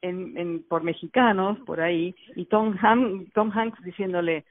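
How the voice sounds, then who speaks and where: A woman's voice: on the low side, steady tone, a little slow. A middle-aged woman in a casual radio conversation, reflective and opinionated, often saying "o sea". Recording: dry phone-line sound.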